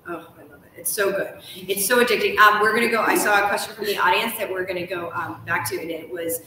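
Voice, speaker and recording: Female host, thirties, bright medium-pitched voice, casual interview in a very reverberant room. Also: steady tone, fast. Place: large hotel room, indoors. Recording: very echoey room, distant mic, faint noise.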